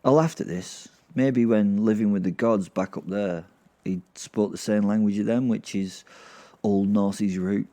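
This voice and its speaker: A man's voice: lowish voice, a little slow, warm tone. A man telling a story as podcast host, in an informal, rambling way. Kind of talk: first-person dramatic fantasy narration.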